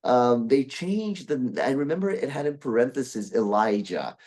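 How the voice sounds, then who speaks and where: A man's voice: mid-range voice, lively intonation, normal speed, darkish tone. A young man from Brazil, chatting as a guest voice actor in a casual interview, often restarting his sentences. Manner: rambling, with many restarts and fillers.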